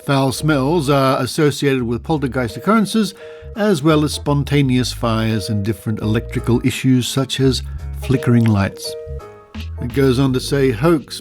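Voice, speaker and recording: Australian man hosting, mid-pitched voice. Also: a little mellow. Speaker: podcast host. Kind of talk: narration, reading aloud with asides.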